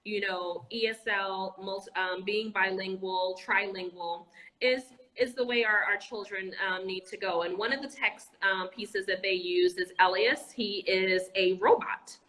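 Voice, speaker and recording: A woman's voice: medium pitch, unhurried, sharp tone, poor audio. A woman, an educator and entrepreneur, speaking as an interview guest over a video call, enthusiastic, with frequent fillers and restarts. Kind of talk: casual interview talk. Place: Anguilla.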